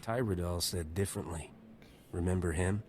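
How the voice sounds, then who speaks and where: A wry, self-assured smuggler captain from a video game, acted by a man. The voice is very low and the lines are short and cocky. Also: normal speed, darkish tone, slight background noise, natural voice. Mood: confrontational. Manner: terse, cocky.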